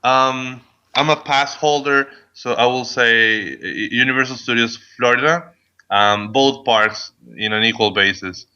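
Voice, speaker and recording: A man's voice: mid-range voice, a little slow, pushed and bright, clear, resonant tone, highs cut off. A man in his thirties from Venezuela, a podcast listener answering questions, hesitant and full of ums and restarts. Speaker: guest listener. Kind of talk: casual podcast Q&A.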